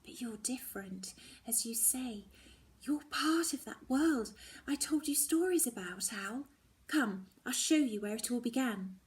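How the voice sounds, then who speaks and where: Woman as a tender mother, hushed and breathy, earnest, soothing, children's audiobook character. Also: on the high side, animated, unhurried, voice pushed up. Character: gentle, reassuring mother, earnest storyteller with quiet conviction. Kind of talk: dramatic children's novel reading.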